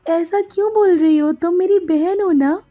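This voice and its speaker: Woman voicing a meek, high-pitched orphan girl. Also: normal speed, mellow. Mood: hurt.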